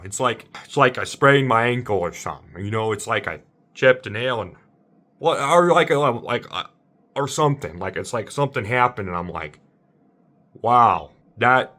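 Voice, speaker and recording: A man rambling casually to viewers as an amateur impressionist, sounding bewildered and circling back over his points. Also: sharp tone. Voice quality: slightly deep voice.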